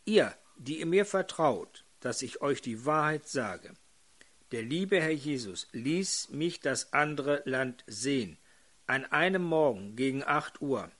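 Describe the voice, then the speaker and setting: An older man preaching a sermon in a hoarse voice, putting questions to his congregation. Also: on the high side, fairly bright tone.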